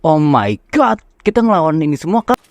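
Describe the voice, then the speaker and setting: A man's voice: fairly high pitch, very animated, quick pace, no room sound, highs cut off. An Indonesian man reacting casually and slangily to a video game as he plays, close on the microphone. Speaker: gameplay streamer.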